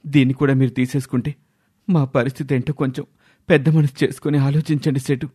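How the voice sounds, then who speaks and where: A man's voice: medium pitch, flat delivery, fairly quick, mellow, husky, natural voice. A man playing a dutiful young son, earnest and resolute, in a dramatised moral story. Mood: pleading.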